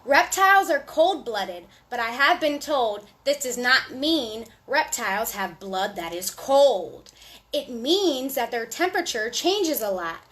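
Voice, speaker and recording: Slow, high-voiced woman reading to children. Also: very animated, a bit bright. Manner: sing-song, deliberate reading with asides to children. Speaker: children's storytime host. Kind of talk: read-aloud storytelling for young children.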